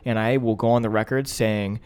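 A man's voice: on the low side, fairly even intonation, brisk, darkish tone, smooth. A young man co-hosting a podcast, talking casually with slangy, opinionated remarks. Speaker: podcast co-host. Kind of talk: casual chat.

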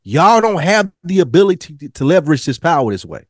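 A man's voice: a little high, sing-song, brisk, no echo, studio quality. A man co-hosting a review podcast, making points in emphatic, slangy casual talk and repeating phrases for stress. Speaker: podcast co-host reviewing a TV drama. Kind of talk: casual chat.

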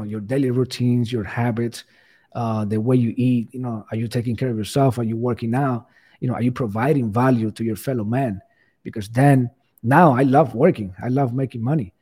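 Guest man, warm husky tone, earnest, full of "you know"s. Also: mid-range voice. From Cuba. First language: Spanish. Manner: earnest, many "you know" fillers and restarts. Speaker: podcast guest. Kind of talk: casual interview talk.

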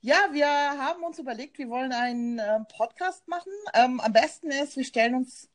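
A German woman co-hosts a casual podcast over a remote call, speaking in a slightly high voice. She talks in a thoughtful, personal way and often pauses on fillers. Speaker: podcast co-host, journalist.

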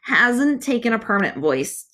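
A woman's voice: a little high, sing-song, moderate pace, a bit bright. A woman voicing a tall, skinny rooster-footed male demon who chides and sneers at his companion. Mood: scornful. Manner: sneering, chiding.

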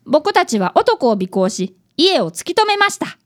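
A woman's voice: sits high, very animated, normal speed. A woman playing an earnest, polite boy of about eleven, an apprentice detective in a radio drama. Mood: narrating. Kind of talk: radio drama acting and narration.

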